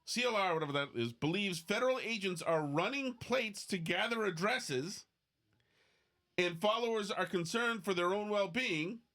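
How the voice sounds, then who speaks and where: A man hosting a podcast in casual, opinionated banter, excitable and freely swearing. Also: high voice, normal speed. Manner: profane, excitable. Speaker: podcast host.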